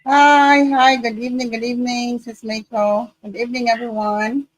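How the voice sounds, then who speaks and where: A woman's voice: on the high side, little pitch movement, moderate pace, no echo, poor audio. A woman from the Philippines co-hosting a live online show, upbeat and full of repeated greetings. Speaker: co-host.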